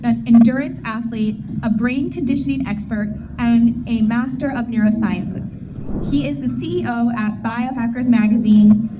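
Higher-voiced woman announcing over loud noise. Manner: promotional, announcer-like. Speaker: event host introducing a speaker. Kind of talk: scripted speaker introduction. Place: indoor conference stage. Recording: very noisy event stage recording. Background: loud noise and ambience.

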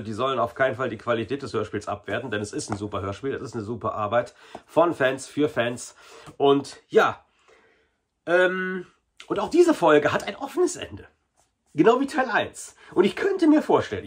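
A man's voice: medium pitch, a bit bright, husky. A man in his forties giving a casual, chatty review to camera in a small room. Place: small indoor hobby room.

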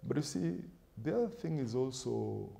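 A middle-aged man, a pastor, answering in a studio interview with a measured, emphatic preaching style, building points in lists and quoting scripture, on a lapel mic. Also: mid-range voice, sing-song, gravelly, mellow. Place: TV studio set with sofas.

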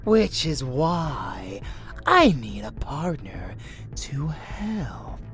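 A man voicing an unhinged, seductive vampire warrior in a dark romance audio roleplay, theatrical, with breathy murmurs and sudden swells. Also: mid-range voice, huge pitch swings, very slow, soft background music, full character voice. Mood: grave.

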